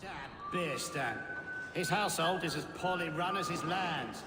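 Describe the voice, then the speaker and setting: A man plays a scornful, grumbling Saxon in a game. His high voice comes from off in an echoing hall. Loud noise runs underneath. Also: measured pace, far from the mic, lightly acted. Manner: contemptuous, grumbling. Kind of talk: video game cutscene acting.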